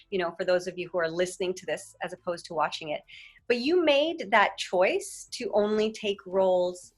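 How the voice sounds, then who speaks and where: A woman actor hosting a casual interview, chatty and telling stories from her own auditions. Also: mid-range voice. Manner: warm, chatty, storytelling. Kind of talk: casual conversation.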